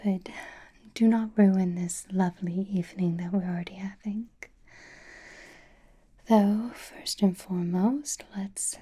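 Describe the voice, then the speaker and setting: Woman doing an elegant vampire lady for asmr, breathy whisper, real slow n mellow, teasing and possessive. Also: mid-range voice. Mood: polite, teasing. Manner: slow, refined, wryly teasing. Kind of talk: ASMR roleplay, whispered personal attention.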